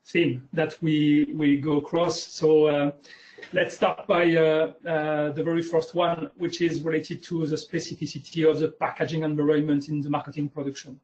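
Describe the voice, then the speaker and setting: A man's voice: a little high, flat delivery, normal speed, darkish tone. Hesitant French-accented man presenting. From France. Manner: hesitant, many uh fillers. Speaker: webinar guest speaker. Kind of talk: presenting slides in a webinar. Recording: muffled lo-fi webinar audio.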